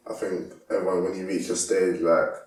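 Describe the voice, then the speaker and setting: Young man, very deep, flat and easygoing, echoey room, mic far off. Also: moderate pace. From England. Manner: laid-back, soft-spoken, lots of "like". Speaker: interview guest, footballer. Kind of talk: casual interview. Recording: roomy sound from a distant mic.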